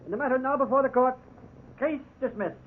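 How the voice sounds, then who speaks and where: An actor playing a stern, formal magistrate giving a ruling in a 1950s radio drama. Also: male voice, very high voice, fairly quick. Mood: formal, decisive. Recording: tinny, hissy 1950s radio recording.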